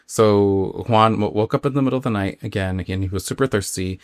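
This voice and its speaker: A man who hosts a podcast, telling a story casually in an informal, halting way full of filler words. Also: lowish voice, smooth, no echo. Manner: informal, halting, filler-heavy with acted-out quotes. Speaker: podcast host.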